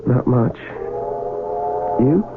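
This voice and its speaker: A man's voice: medium pitch, animated, drawn-out words. A man playing a sober, doubtful young Union soldier in a 1970s radio drama, plain-spoken and brooding with rural American speech. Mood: irritated. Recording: very noisy, tinny vintage radio broadcast.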